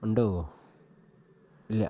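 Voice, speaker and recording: A man's voice: takes it slowly, highs cut off. A man chatting casually as he gives a hands-on tutorial, thinking aloud informally while he works. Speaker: vlogger giving a hands-on tutorial. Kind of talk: casual chat while demonstrating an installation. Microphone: action camera mounted on a helmet he wears.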